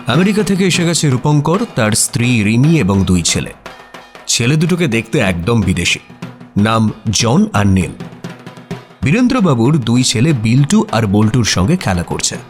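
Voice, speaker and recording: A man's voice: mid-range voice, sing-song, normal speed, soft background music, close to an everyday voice. A man playing a curious writer and detective's companion, narrating a radio story in the first person with expression. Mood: descriptive narration.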